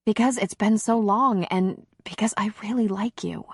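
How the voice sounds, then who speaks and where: Woman voicing a soft, nervous, breathy heroine. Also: medium pitch, moderate pace, no echo, studio quality, pitched above the performer's own voice. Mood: nervous, smitten.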